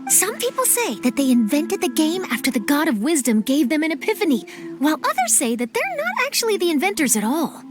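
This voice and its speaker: A woman's voice: sits high, wildly swooping pitch, fairly quick. A woman voicing an eager, talkative young journalist in a game cutscene. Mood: chatty. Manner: eager, chatty, flustered at times. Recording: game audio with music behind.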